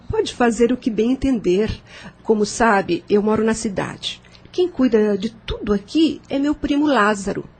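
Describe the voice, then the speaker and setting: A woman playing a kindly, gracious lady of means in an audio novel, with a husky voice and a Brazilian accent. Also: a little high, animated, measured pace, a little mellow, full character voice. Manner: gracious, reassuring. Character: kindly, talkative, cheerful widowed lady of means.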